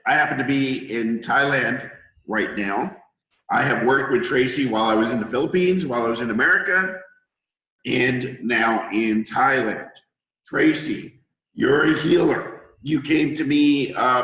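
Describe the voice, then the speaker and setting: Hoarse man lecturing in an echoey room. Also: mid-range voice, normal speed, bright, forceful tone. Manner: confident, lecturing with analogies. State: hoarse from a cold. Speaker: healer hosting an interview. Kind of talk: conversational interview, explaining. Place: room in Thailand.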